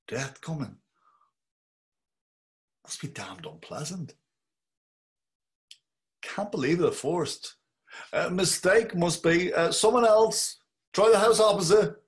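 A man's voice: on the high side, expressive. A middle-aged actor playing a wry, musing Dublin man, reading over a video call. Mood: brooding. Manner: musing inner monologue, slow and measured. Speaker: actor reading a male lead. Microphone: webcam on a video call.

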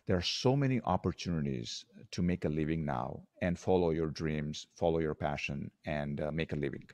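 A man's voice: sits low, a little mellow, dry recording. A man with an Indian accent, a guest author in a podcast interview, laying out his points one by one in an explanatory way.

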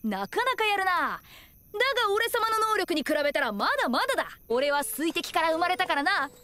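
A woman's voice: extremely high pitch, very animated, brisk, bright, forceful tone, exaggerated voice. A woman voicing a tiny, hot-tempered and self-important boy fairy in an anime, brash and indignant. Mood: boastful. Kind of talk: exaggerated Japanese anime voice acting. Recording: background music under the dialogue.

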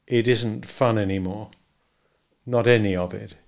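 A man reading aloud and voicing a sullen, withdrawn young man who talks in a flat, brooding way in an audiobook. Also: lowish voice, a little slow, gravelly.

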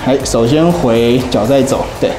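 A man, giving a brisk, step-by-step demonstration of a rowing machine in a small office gym. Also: mid-range voice. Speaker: fitness equipment instructor. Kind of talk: instructional demonstration. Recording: roomy room with background music.

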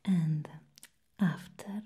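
Slow whispering clinic secretary, acted by a woman. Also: fairly low pitch, dark tone, a little hiss or hum. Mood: hushed, dismissive. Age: young adult. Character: bored, condescending female clinic secretary, falsely reassuring. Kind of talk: whispered ASMR roleplay. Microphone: close microphone.

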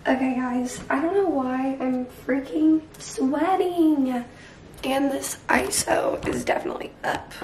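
A young woman vlogging casually to camera from her bedroom about her reading, hoarse and losing her voice from a cold, chatty with lots of fillers. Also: high voice, darkish tone, echoey room, a little hiss or hum. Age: young adult. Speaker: book vlogger. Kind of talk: casual vlog chat to camera. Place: small bright bedroom.

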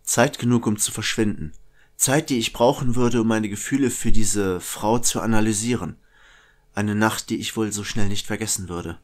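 A man's voice: on the low side, normal speed, close to an everyday voice. A man playing a brooding, conflicted killer who narrates introspectively in an audio drama. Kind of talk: German audio drama acting and first-person narration.

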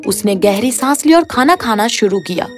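High, animated female storyteller. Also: normal speed. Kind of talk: scripted fairy-tale narration. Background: background music.

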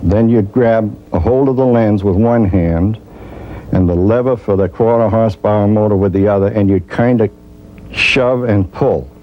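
An older man in his sixties reminisces for an interview. His voice is low and mellow, picked up by a lapel mic in a room with faint background noise. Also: normal speed, poor audio. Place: small furnished room indoors.

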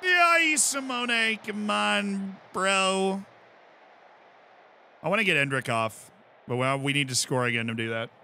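An American man streaming casually while he plays, explaining things in an animated, rambling way and often checking in with "right?". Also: sits high, takes it slowly, vocal fry, long pauses, slight background noise. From United States. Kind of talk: casual chat while playing.

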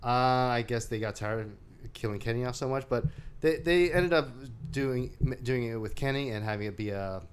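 The guy on a movie podcast who haltingly and wryly walks his co-host through a film's story. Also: medium pitch, smooth. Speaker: co-host of a movie discussion show. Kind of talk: casual chat, recapping a film.

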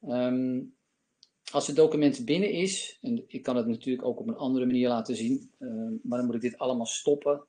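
A man in his fifties giving a live software demo and answering questions on a video call, talking with many fillers from a home office. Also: medium pitch, a little fast. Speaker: company presenter. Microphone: laptop webcam on video call.

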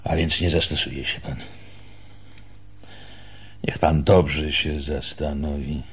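An actor playing a stern, threatening village schoolteacher in a radio drama, with a pompous, authoritarian manner. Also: male voice, a bass voice, flat delivery, slow pace, fairly bright tone, husky, heavily acted. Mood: coldly authoritarian. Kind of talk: Polish radio drama acting.

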